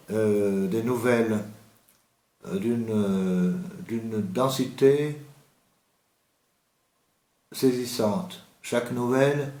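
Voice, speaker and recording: A man speaks with slow, deliberate pacing, stopping often to hesitate and restart his sentences. His voice sits at an ordinary pitch. The room around him is echoey and reverberant. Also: darkish tone. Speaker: host presenting an author. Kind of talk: unscripted literary talk.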